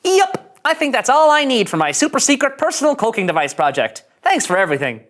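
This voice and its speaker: A woman's voice: high voice, big pitch swings, a little fast. A woman at a table read playing an eager, guileless young starship engineer with a chirpy, naive manner.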